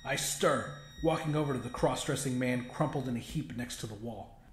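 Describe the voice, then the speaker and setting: A man's voice: mid-range voice, normal speed. A man reading a first-person horror story aloud with intense, theatrical delivery. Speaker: audiobook narrator. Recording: roomy echo with music behind. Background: music.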